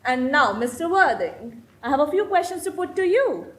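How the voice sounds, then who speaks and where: A woman's voice: high-pitched, big pitch swings, moderate pace, a bit bright. A woman playing a stern, haughty middle-aged aristocratic mother in a stage comedy, formal and commanding. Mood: imperious. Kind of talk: theatrical stage comedy of manners. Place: indoor stage performance. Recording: roomy, clearly noisy stage recording.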